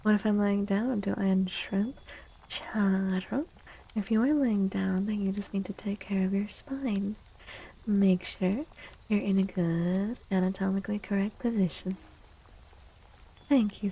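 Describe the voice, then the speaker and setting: A woman hosting a soft-spoken ASMR livestream and reading tarot, gentle and reassuring, often slipping into a whisper. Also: medium pitch, fairly even intonation, a little slow, gravelly, slightly rough, rough recording. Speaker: livestream host and tarot reader.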